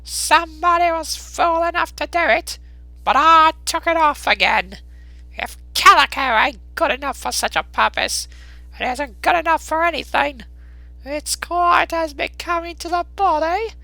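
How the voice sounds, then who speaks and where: A man voicing a brazen, callous working-class charwoman in a dramatised Victorian storybook, with a coolly unashamed, drawn-out way of scoffing. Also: extremely high pitch, vocal fry, bright, forceful tone, dry recording, voice pushed up, exaggerated voice, rough recording.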